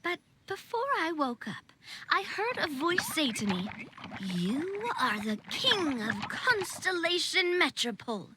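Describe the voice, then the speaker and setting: A woman's voice: very high-pitched, very animated, slow, very noisy, full character voice. A woman playing a confident, upbeat, breezy young female leader in a video game. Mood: wry, recounting. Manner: self-assured, breezy.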